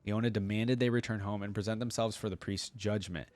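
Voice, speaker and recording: Deep-ish voiced guy doing casual reactions and reading lore out loud, dry close sound. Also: steady tone, fairly quick, dry recording. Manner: informal, interjecting short asides while reading. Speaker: host of a fan reaction channel.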